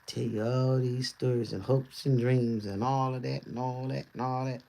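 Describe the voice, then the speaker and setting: A man casually chatting on a livestream in a loose, rambling way, his voice going hoarse. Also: medium pitch, steady tone, normal speed, dark tone. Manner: loose, rambling talk with easy laughs. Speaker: livestream host.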